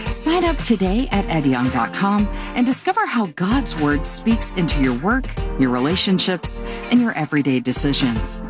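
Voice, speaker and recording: A man's voice: high voice, sing-song, moderate pace. A man reading a scripted radio program introduction as the announcer. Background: music bed.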